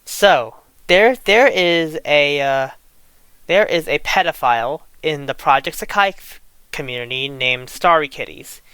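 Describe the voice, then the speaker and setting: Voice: mid-range voice, animated, unhurried, pushed and bright, clear, resonant tone, no echo, rough recording. An online creator of unclear sex delivering an unscripted, exasperated rant, often restarting and repeating phrases. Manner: indignant, exasperated. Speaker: online video creator venting grievances.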